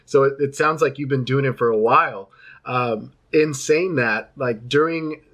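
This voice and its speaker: A man's voice: medium pitch, normal speed, a bit bright. A man hosting an interview over video call, asking long, enthusiastic questions with fillers and restarts. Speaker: interviewer host.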